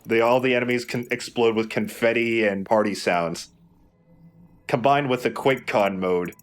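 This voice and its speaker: A man's voice: medium pitch, normal speed, faint music behind. A man giving wry, rambling, sweary commentary as he plays a video game. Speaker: let's play host and player. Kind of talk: casual gameplay commentary.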